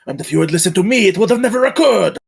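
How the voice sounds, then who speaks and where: Man playing a gravelly, commanding count. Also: sits high, expressive, very fast, exaggerated voice. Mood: angry. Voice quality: gravelly, husky. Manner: firm, authoritative. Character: commanding count, male master of a household. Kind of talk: live table read of a screenplay.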